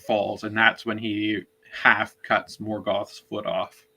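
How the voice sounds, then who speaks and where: A man's voice: on the low side, level tone, slow pace, pushed and bright, a little hiss or hum, rough recording. A man co-hosting a book discussion, explaining things in a knowledgeable, conversational way. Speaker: co-host.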